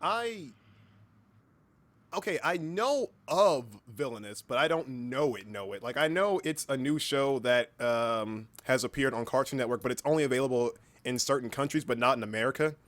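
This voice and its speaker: A man's voice: medium pitch, lively intonation, normal speed, fairly bright tone, no echo. A man in his early twenties chatting casually as a livestream host, with a playful, exaggerated way of talking. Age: early 20s. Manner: playful, exaggerated, self-mocking. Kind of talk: casual livestream chat, storytelling.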